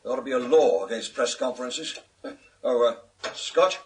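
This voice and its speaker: An actor playing a pompous, image-obsessed senior British police chief in a radio thriller, formal and given to pauses. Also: male voice, medium pitch, breathy edge. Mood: weary, worried. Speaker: actor in a radio crime drama.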